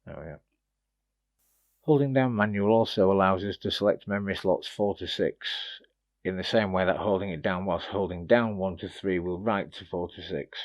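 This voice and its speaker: A man's voice: low voice, moderate pace, muffled recording. A man giving a casual, informal product review, explaining features and tips in a slightly hesitant way. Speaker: gear reviewer presenting a demo.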